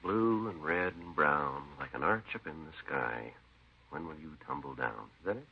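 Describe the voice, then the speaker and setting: An actor playing a laconic, deadpan American police detective with clipped speech in an old-time radio drama. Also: male voice, a bass voice, normal speed, vocal fry, breathy edge, heavily acted. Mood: dry teasing. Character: laconic, dry, unflappable male police detective. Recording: dull old radio recording.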